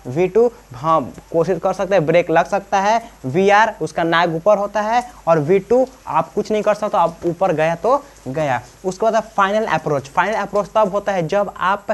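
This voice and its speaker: A man's voice: sits high, moderate pace, hardly any pauses. A man from Bihar with a Bihari accent explains like an informal teacher, colloquially, often asking questions and answering them himself. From Bihar, India. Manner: colloquial, slips into local dialect. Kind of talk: informal classroom-style explanation.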